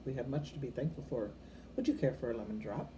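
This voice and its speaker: A woman voicing a calm, kindly, whimsical old wizard headmaster in a storybook, measured and polite. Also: very deep voice, background noise. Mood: serene.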